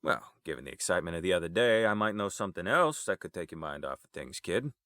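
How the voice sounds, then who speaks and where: Man acting an easygoing low-voiced family uncle. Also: big pitch swings, fast talker, a bit bright, no echo, studio quality. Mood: teasing, friendly. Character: easygoing, joking family friend, a fond uncle figure. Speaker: voice actor. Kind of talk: audio drama acting.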